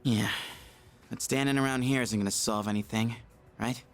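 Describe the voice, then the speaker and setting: A man's voice: mid-range voice, steady tone, normal speed, faint music behind, natural voice. Restless, impatient teenage guy played by a man. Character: impatient, plain-spoken teenage male student fighter. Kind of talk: English anime-style game dub acting.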